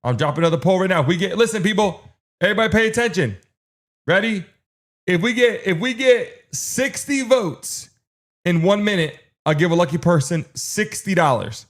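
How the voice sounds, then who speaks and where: A man's voice: high voice, expressive, moderate pace. A man hosting a live stream, urging his chat along with insistent, repetitive calls and countdowns. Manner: urgent, insistent, repeating himself. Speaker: livestream host running chat polls.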